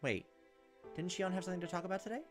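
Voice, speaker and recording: A man's voice: high-pitched, very fast. A man hosting a let's play, reading game text aloud and adding wry, casual commentary. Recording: faint game music behind the voice.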